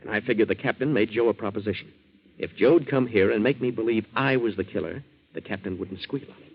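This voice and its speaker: An actor playing an earnest, plain-spoken young American boat hand in a 1940s radio drama. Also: male voice, lowish voice, a little fast, breathy edge. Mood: earnest explaining. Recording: muffled 1940s radio broadcast.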